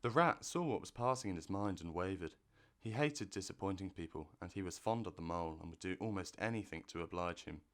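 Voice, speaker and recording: A man narrating a classic storybook in a measured, expressive reading. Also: low voice.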